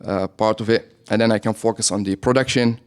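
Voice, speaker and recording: A man's voice: on the low side, fairly even intonation, a little fast, clean tone. A man with a Lebanese accent, a business owner talking as a guest in a radio interview, who often checks in with "right?". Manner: explains with frequent "right?" tags.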